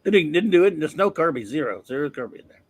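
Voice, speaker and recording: A man's voice: a little high, big pitch swings, fast talker, husky, no room sound. A man hosting a podcast, chatting casually about comics in a blunt, opinionated way with many restarts. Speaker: podcast host.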